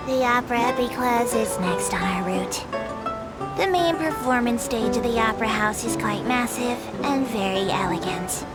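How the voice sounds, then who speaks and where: A woman's voice: fairly high pitch, moderate pace, over loud music, lightly acted. A woman voicing a small, polite, dutiful female creature who works as a tour guide in a video game, reciting announcements formally and precisely.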